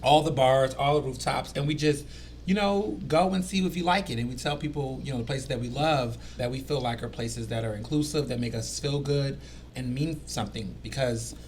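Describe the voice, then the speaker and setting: A man in his thirties with a light Southern accent talks in an animated, chatty way, dropping "you know" often. His voice sits at a middle pitch, with faint noise in the room. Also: a little fast. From Houston, Texas. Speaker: guest, food editor and writer. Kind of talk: casual conversation.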